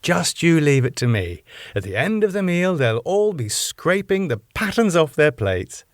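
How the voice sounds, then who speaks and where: A man with a British accent playing a deadpan, matter-of-fact older woman who is the club owner's wife. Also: a little high, unhurried, no room sound, studio quality, voice pushed up.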